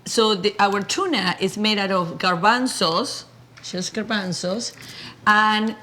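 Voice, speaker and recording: A woman from Argentina with an Argentine Spanish accent, a guest chatting casually in an interview, talking in halting restarts. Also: medium pitch. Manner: halting, many restarts, animated storytelling. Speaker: guest, food company founder.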